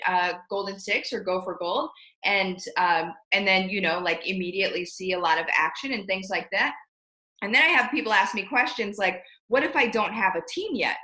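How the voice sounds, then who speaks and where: Peppy woman host, bright and forceful voice, echoey room, casual interview chat. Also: medium pitch. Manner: peppy, addresses viewers as "you guys".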